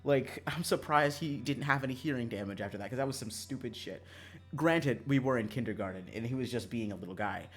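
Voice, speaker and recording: A man streaming a visual novel, chatting casually with viewers in an animated, sweary, emphatic way over background music. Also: medium pitch, a little fast.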